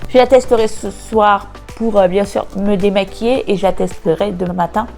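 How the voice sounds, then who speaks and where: A woman vlogger chatting casually through an unboxing, nasal and congested from a cold, pausing often with hesitant filler sounds. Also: medium pitch, fairly even intonation, gravelly, darkish tone, soft background music. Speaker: beauty vlogger.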